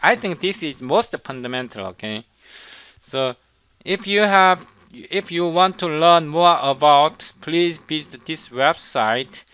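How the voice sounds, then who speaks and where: Slow halting Korean-accented male instructor. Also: fairly high pitch, bright, forceful tone, clear, resonant tone. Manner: halting, repeats words, frequent "okay?". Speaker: programming instructor. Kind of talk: screen-recorded coding tutorial.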